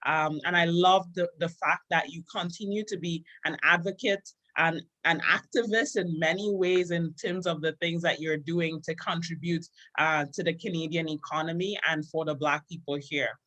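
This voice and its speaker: A woman's voice: on the low side, fairly even intonation, normal speed, few pauses, fairly bright tone, rough recording. A woman from Trinidad and Tobago hosting a podcast interview, affirming her guest and repeating words as she starts sentences. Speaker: podcast host.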